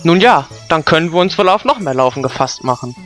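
Guy acting in a homemade audio play, really lively pitch, bit of music behind. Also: a little fast. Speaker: cast member playing himself in audio play. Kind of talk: amateur scripted audio drama dialogue.

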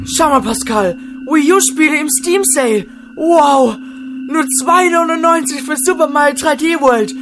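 A man's voice: very high voice, unhurried, music in the background. A man playing a naive, easily tempted video game fan, gushing in an amateur Christmas comedy. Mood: awed, excited.

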